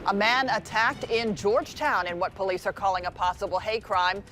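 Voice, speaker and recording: A woman's voice: medium pitch, normal speed, music in the background. An American woman working as a morning news anchor, reading in broadcast style.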